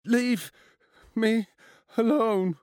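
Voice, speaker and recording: Timid audiobook schoolboy played by a man, nervous quiver, raised pitch, dry close sound. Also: fairly even intonation, very slow, a little mellow, no room sound, exaggerated voice. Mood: frightened defiance.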